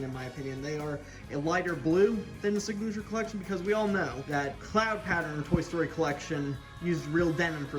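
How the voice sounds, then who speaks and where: Higher-voiced man reviewing toys over music. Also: a bit bright. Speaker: toy collector reviewer hosting an unboxing video. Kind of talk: casual hands-on product review. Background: background music.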